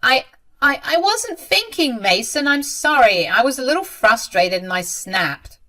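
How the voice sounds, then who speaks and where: A woman's voice: mid-range voice, lively intonation, unhurried, fairly bright tone. A woman puts on an older, well-to-do mother in a dramatized story. She is haughty, spiteful and mocking, a snob who talks down to everyone around her. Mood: flustered, pleading. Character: spiteful, snobbish older mother, cruel then whining.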